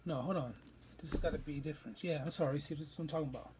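A man's voice: fairly high pitch, racing, darkish tone, a little hiss or hum, rough recording. A man hosting a casual sneaker unboxing vlog, talking in a loose, rambling way with plenty of slang, recorded on a phone camera in a room stacked with shoe boxes. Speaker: sneaker reviewer.

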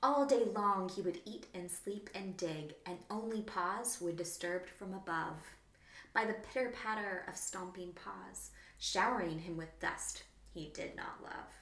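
A woman's voice: medium pitch, measured pace, fairly bright tone. A woman in her thirties, a children's author, reading a storybook aloud expressively in a small room. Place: small plain room indoors. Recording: roomy, echoing indoor room.

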